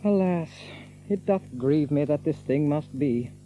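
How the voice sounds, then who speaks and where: An actor playing an unruffled, polite gentleman in an archaic audio drama, speaking with gentle mockery. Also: a little high, measured pace, narrow, tinny audio, slight background noise, close to an everyday voice. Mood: mock regret. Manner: polite, leisurely, gently mocking. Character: mild, courteous, unruffled gentleman stranger with hidden strength.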